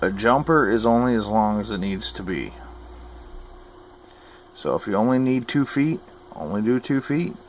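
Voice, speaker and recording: A man with a low voice talks through practical tips in a folksy, opinionated way. He keeps it informal and plain-spoken, like a hobbyist teaching what he knows. There is clear background noise on the recording. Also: animated, normal speed, long pauses. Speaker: hobbyist giving CB radio advice. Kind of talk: informal instructional talk.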